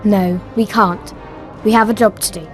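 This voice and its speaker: A woman's voice: mid-range voice, fairly even intonation, normal speed, close to an everyday voice. A woman playing a bold, headstrong, adventurous young girl in a video game. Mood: eager, determined. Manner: eager, headstrong. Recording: music behind the voice.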